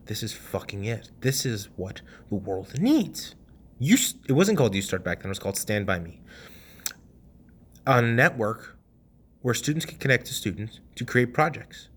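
Young male podcast host, casual storyteller, lively delivery with loud and hushed swings, swears freely. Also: mid-range voice, moderate pace, husky.

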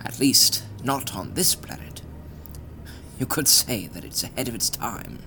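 A man playing an eccentric older gentleman scientist in an audio drama, genteel, deliberate and faintly pompous, with a British accent. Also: medium pitch, lively intonation, gravelly, a little hiss or hum, exaggerated voice. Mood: courteous, pleased.

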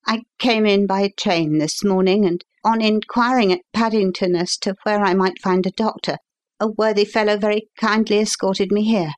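Brief: woman doing a pale young gentleman engineer, shaken and agitated, quick and strained, for a storybook reading. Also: mid-range voice, fairly even intonation, voice pushed up, close to an everyday voice.

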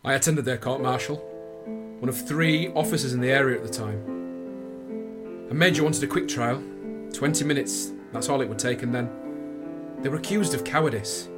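A man playing a loyal, principled army captain in a radio play, earnest, measured and firm. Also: medium pitch, over loud music, natural voice. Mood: regretful. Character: loyal, principled British army captain defending young soldiers. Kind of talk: radio drama acting and narration.

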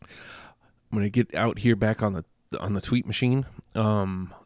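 A man's voice: on the low side, steady tone, a little slow, a little mellow, no echo. A congested man hosting a casual solo podcast, rambling and self-deprecating, with many fillers and restarts, close to the microphone. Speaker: podcast host.

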